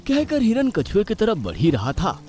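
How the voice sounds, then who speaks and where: A man narrating a scripted children's story in an expressive storytelling style. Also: high-pitched, moderate pace. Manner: expressive, animated. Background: background music.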